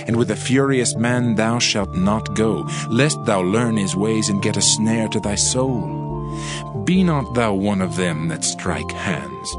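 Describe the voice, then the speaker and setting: A man narrating a dramatized audio Bible in a solemn, formal, instructive way. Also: on the low side. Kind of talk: dramatized scripture reading. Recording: loud background music under the voice. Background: background music.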